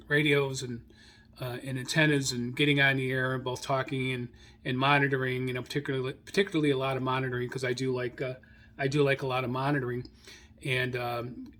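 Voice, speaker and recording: A middle-aged or older American man hosting an informal radio hobby monologue, rambling haltingly and repeating phrases. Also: mid-range voice, fairly bright tone. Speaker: radio hobby show host.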